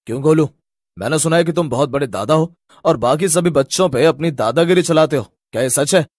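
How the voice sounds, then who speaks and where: A man's voice: a little high, fairly quick, no room sound, clean studio sound, lightly acted. A man voicing a stern, authoritative schoolteacher who scolds in an accusing way in a children's story. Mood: stern, scolding.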